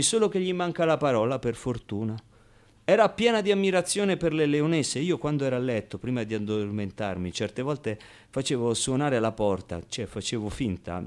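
A man's voice: medium pitch, darkish tone, lightly acted. A man as a naive, streetwise orphan boy. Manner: halting, hesitant pauses, repeats words. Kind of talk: theatrical radio monologue, first-person storytelling.

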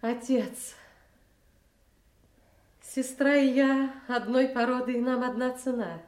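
An actress playing a king's flattering second daughter, measured and ingratiating in a classical audio drama. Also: high-pitched, roomy sound.

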